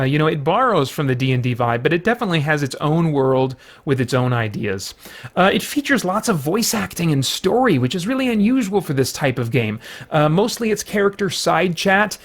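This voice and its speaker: A man giving a casual spoken game review, rambling with many fillers and restarts and sounding mildly exasperated. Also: on the high side.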